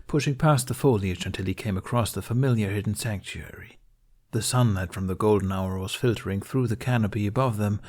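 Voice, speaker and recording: A man reading scripted fiction aloud as an audiobook narrator, in a measured storytelling manner. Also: fairly low pitch, a little mellow.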